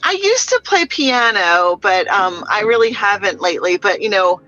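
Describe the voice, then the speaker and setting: A woman's voice: medium pitch, lively intonation, moderate pace, sharp tone, dull audio, soft background music. A woman doctor chatting casually on a video call, frank and encouraging, with lots of "you know" fillers. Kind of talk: casual chat.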